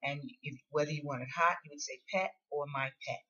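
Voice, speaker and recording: Low-voiced woman, informal how-to talk. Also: fairly even intonation, a little fast, bright, forceful tone, muffled recording. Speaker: host of a how-to video on ordering food. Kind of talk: casual explanatory voice-over.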